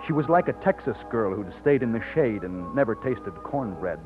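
An actor in an old radio drama playing an earnest, loyal, plain-spoken overseer from Texas. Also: male voice, fairly low pitch, lively intonation, moderate pace, music in the background, lightly acted. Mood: reflective. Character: earnest, loyal Texan plantation overseer and war veteran. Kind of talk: old-time radio drama acting with narration. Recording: muffled lo-fi old radio recording.

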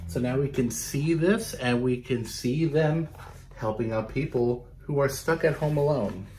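A man's voice: mid-range voice, mellow, soft background music, low-quality recording. Man reading a picture book to children. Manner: instructive, pausing to question and explain to young listeners. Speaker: children's storytime reader. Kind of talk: animated picture-book read-aloud with asides to children. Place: small bedroom, indoors.

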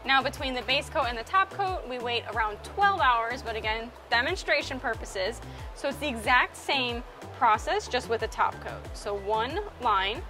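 A young woman with a slightly high voice walks through a boat-coating job in an upbeat, step-by-step manner. She speaks inside a large boat warehouse on a clip-on mic. A clear music bed plays under her voice. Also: expressive, normal speed. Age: young adult. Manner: upbeat, explanatory, talks step by step. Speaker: co-host of a boating channel. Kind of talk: casual how-to vlog narration.

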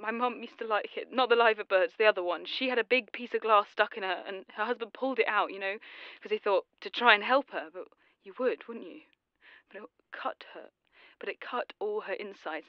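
An actress playing a dazed, distraught British widow in an audio drama, rambling and halting as she speaks. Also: mid-range voice, no echo, muffled recording, natural voice. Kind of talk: naturalistic audio drama acting.

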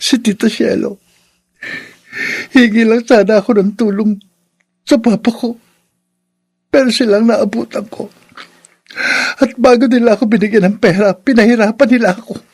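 A meek young son in a radio drama. The voice is high and rough-edged. He speaks hesitantly and deferentially, a long-suffering poor boy who pleads. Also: steady tone, moderate pace, pauses often, a little mellow. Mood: sorrowful, resigned.

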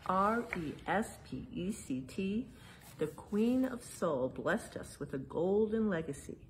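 A middle-aged woman reading a picture book aloud to children in a museum hall, spelling out each page title and then explaining it gently. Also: mid-range voice, expressive, slow pace, a little hiss or hum. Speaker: museum storytime reader. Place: indoor museum exhibit hall.

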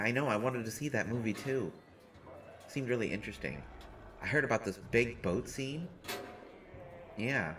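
A deep, low-voiced man plays a timid little survivor who stumbles over his words and keeps restarting. He talks slowly and anxiously, rambling to fill silence. Audible hiss and ambient noise sit behind the close mic. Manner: stammering, nervous, self-deprecating, rambling. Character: shy, nervous small young man survivor. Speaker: audio roleplay performer. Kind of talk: scripted audio roleplay monologue.

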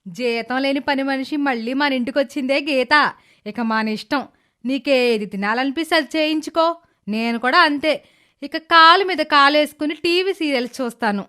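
A cruel middle-aged stepmother from a cartoon moral tale, played by a woman, speaks in a high voice. She scolds with scorn and sarcasm, sounding resentful and calculating. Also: moderate pace, pushed and bright. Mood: smug. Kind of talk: animated moral story character dialogue.

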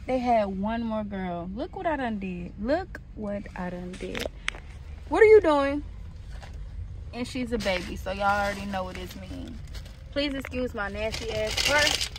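Young woman vlogging on her phone, chatty and slangy, kinda high voice, little bit of background hiss. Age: early 20s. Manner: chatty and excitable, slangy, squeals high when thrilled. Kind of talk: casual vlog chat to camera. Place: apartment rooms, then parked car and outdoor parking lot. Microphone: phone held at arm's length.

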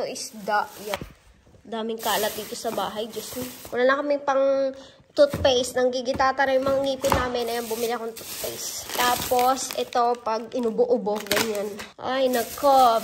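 A woman's voice: fairly high pitch, steady tone, normal speed, background noise. A woman in her thirties with a Filipino accent, chatting casually and playfully to her vlog followers, recorded on a handheld phone at home. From Philippines. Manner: chatty, informal, playful asides. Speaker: vlogger. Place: indoors at home, kitchen and living area. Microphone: phone at arm's length.

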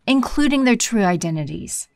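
A woman playing a scheming villain empress posing as a smooth businesswoman, smug and condescending, in an audio drama. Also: on the high side, sing-song, normal speed, noisy background. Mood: smug, poised.

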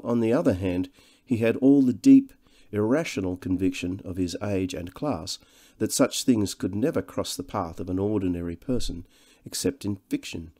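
A man's voice: fairly low pitch, dark tone. A man reading literary narration as an audiobook narrator.

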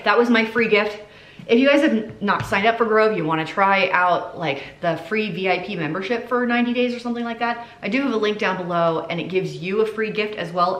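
A woman's voice: medium pitch, fairly bright tone, a little hiss or hum. Chatty woman vlogging in an echoey kitchen. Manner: chatty, candid, laughs at herself. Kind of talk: casual product review. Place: home kitchen, indoors. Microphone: camera on a counter at medium distance.

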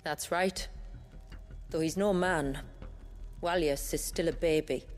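A woman playing a proud, weary village elder in a video game, with a measured, solemn manner. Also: deep voice, fairly even intonation. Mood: quietly proud. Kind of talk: video game cutscene acting. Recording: music playing behind the voice.

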